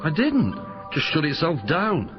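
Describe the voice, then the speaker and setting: A man's voice: fairly high pitch, sing-song, a little slow, highs cut off, music in the background. An actor playing a weary spaceship crewman, speaking informally and offhand in a sci-fi audio drama. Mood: guarded, matter-of-fact. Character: casual, weary spaceship crewman, handy with computers.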